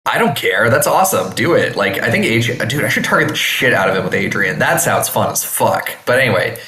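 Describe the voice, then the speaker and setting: Guy with a lowish voice in a roomy space, hyped podcast host, crude banter. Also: sing-song, fairly quick, sharp tone. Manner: profane, emphatic, enthusiastic. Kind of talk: casual chat, crude banter. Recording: roomy, echoey room sound.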